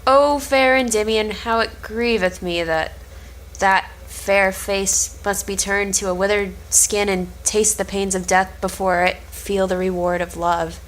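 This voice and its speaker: A woman's voice: medium pitch, fairly even intonation, unhurried, a little hiss or hum, lightly acted, rough recording. A woman playing a timid, pitying young servant girl, lamenting in a cold read of an Elizabethan stage play. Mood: pitying, fearful.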